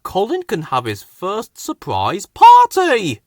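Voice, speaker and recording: A man's voice: sits high, huge pitch swings, slow pace, a little mellow, no room sound, studio quality, voice pushed up, heavily acted. A man voicing an eager, kind-hearted little steam engine in a children's storybook. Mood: excited.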